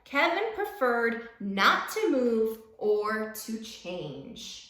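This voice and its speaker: Bright-voiced woman reading to children, roomy sound. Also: a little high, very animated, extremely slow. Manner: encouraging, addressing children directly. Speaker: teacher reading a picture book aloud. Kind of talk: expressive children's storybook read-aloud.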